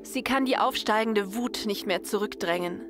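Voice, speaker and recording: Casting: female storyteller, medium-pitched voice, scripted sci-fi narration with prominent music underneath. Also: normal speed. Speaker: narrator and writer. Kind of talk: scripted narration of a science-fiction audio story.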